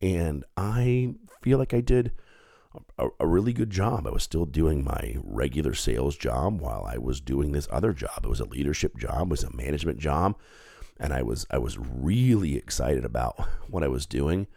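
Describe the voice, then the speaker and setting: Very deep gravelly man with a cold. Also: expressive, moderate pace, warm tone, no room sound, clean studio sound. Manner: earnest, reflective, conversational with filler words. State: stuffed up with a head cold. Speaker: podcast host. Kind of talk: solo podcast monologue.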